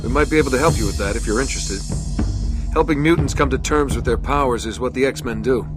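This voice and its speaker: An actor voicing a calm, reassuring male leader of a mutant hero team in a video game. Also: mid-range voice, fast talker, lightly acted. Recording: game audio with loud music behind.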